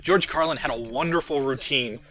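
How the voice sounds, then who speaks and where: A man's voice: mid-range voice, moderate pace, a bit bright. A man giving casual, jokey and self-deprecating audio commentary as the creator of the show he is talking about.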